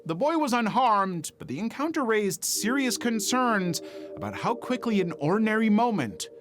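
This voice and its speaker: A man reading scripted true-crime narration in a measured, dramatic storytelling manner. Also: sits high, very animated.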